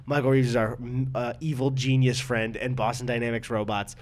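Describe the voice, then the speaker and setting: A man's voice: mid-range voice, level tone, brisk, a little mellow. An American man co-hosting a casual comedic chat, rambling and opinionated, with plenty of swearing. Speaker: podcast co-host.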